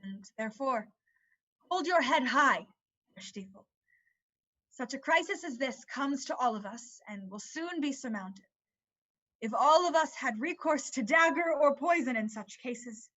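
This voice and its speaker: An earnest, motherly figure acted by a woman with a fairly high voice and formal, measured delivery. She sounds consoling and articulate, as in a dramatic reading of an old play. The audio is slightly dull and lo-fi. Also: animated, fairly bright tone, exaggerated voice. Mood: reassuring.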